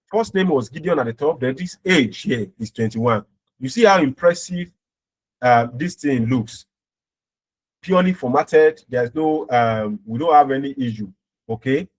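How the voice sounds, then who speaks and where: A man's voice: medium pitch, measured pace, poor audio. A man giving an informal step-by-step programming tutorial as an instructor, often checking in with short tag words as he explains.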